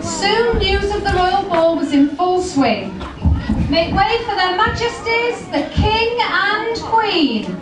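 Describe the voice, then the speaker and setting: A woman's voice: very high-pitched, animated, takes it slowly. A woman narrating a stage pantomime and proclaiming announcements, recorded in an echoey hall. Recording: echoey hall, distant mic, very noisy audience.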